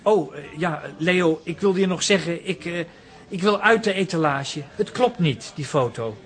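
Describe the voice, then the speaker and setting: Touchy adult brother, awkward, high male voice, radio drama. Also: animated, normal speed, fairly bright tone. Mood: nervous.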